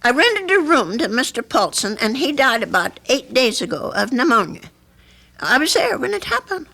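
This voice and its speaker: Troubled high-voiced older landlady. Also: very animated, gravelly, pushed and bright, heavily acted. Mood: earnest, troubled. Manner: hesitant, earnest, halting. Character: troubled, earnest, unassuming landlady. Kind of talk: old-time radio drama acting.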